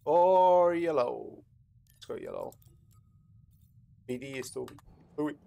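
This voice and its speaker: A man's voice: a little high, slow, vocal fry, warm tone, faint music behind. A man giving casual, playful gameplay commentary as he talks to himself while hosting a gaming video.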